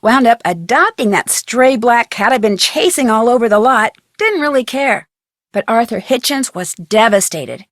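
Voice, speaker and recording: A woman's voice: fairly high pitch, no room sound, studio quality, natural voice. A woman voicing a curious, plucky young female detective in a video game, musing aloud expressively. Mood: narrating, wry.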